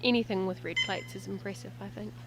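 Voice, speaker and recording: A woman's voice: mid-range voice, wildly swooping pitch, fast talker, background noise. A native English-speaking woman doing live sports commentary, chatty and lightly joking.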